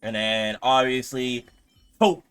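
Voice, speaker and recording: A male streamer comments casually over a game in a mid-pitched, bright and forceful voice. He is slangy and profane, often circling back to repeat the same phrase. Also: slow.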